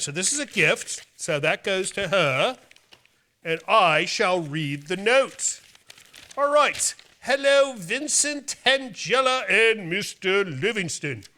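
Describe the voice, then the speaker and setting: A man's voice: high-pitched, sharp tone. A man hosting a show, reading out mail and bantering in a theatrical, playful way. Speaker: host of a horror movie show.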